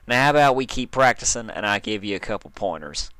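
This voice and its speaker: A man's voice: lowish voice, animated, fast, a bit bright, no echo. A man voicing an easygoing, modest female country pony from a cartoon, with folksy manners. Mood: easygoing, encouraging.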